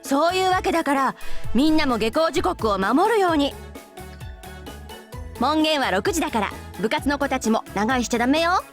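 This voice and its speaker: A scatterbrained, exuberant young female teacher from a visual novel. Her voice is very high and swoops about quickly as she scolds in a cutesy, bossy way. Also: animated, faint music behind. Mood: breezy. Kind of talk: Japanese visual novel voice acting.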